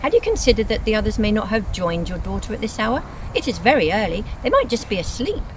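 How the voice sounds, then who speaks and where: A woman voicing a fake policeman in a storybook, a smooth, falsely helpful villain, polite and faintly sarcastic. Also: mid-range voice, sing-song, fast talker, background noise, close to an everyday voice. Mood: feigned kindly concern.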